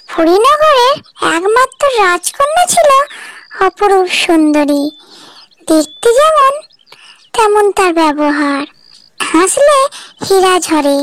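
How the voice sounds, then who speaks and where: A woman giving scripted narration of a fairy tale as the storyteller. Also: extremely high pitch.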